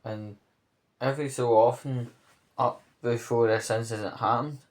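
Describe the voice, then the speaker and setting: A man giving off-the-cuff spoken commentary on local news, rambling, pausing mid-phrase and checking in with the listener. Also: medium pitch, fairly even intonation.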